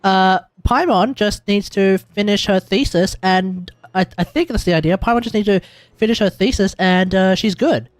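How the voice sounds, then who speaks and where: A man with an Australian accent giving casual, excitable, joking live commentary on a game, repeating himself for emphasis. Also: high-pitched, normal speed, dry recording. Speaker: streamer.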